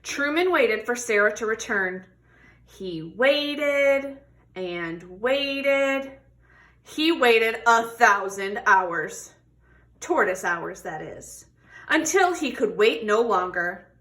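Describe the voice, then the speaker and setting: A woman with a bright, fairly high voice reads a children's storybook aloud. She takes her time, drawing out key words for young listeners. Her delivery is expressive, in the manner of someone guiding children through the pages. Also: takes it slowly. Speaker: read-aloud storybook reader. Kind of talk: animated children's picture book read-aloud with questions to listeners.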